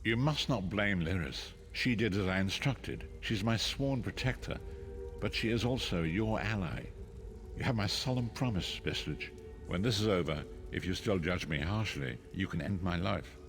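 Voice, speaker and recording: A man's voice: low-pitched, lively intonation. An actor playing a grave, remorseful old nobleman in a fantasy video game, speaking formally and earnestly over music. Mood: solemn, contrite. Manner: formal, solemn, measured speech.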